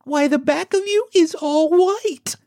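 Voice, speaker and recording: Man putting on a high-pitched, frank little girl. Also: slow, darkish tone, no echo, studio quality, full character voice. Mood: amused. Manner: frank, reproving. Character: indignant, outspoken little girl in a storybook.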